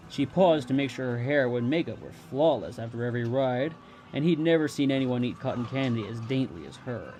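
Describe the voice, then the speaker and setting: Mellow male story narrator. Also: mid-range voice.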